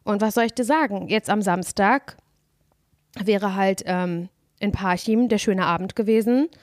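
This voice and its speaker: A woman's voice: medium pitch, measured pace, no room sound, clean studio sound. A woman co-hosting a casual podcast, chatty and rambling, sick at home with a cough. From northern Germany. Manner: chatty, rambling, lots of "weißt?" tag questions. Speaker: podcast co-host. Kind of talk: casual chat. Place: home, on the couch.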